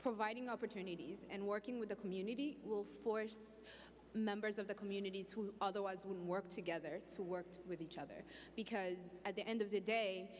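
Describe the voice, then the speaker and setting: A young woman from Congo speaking earnestly as a panelist on stage, holding a handheld mic in a large hall. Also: medium pitch. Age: young adult. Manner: earnest, outspoken, with restarts. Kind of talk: panel discussion. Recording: hall reverb, faint background noise.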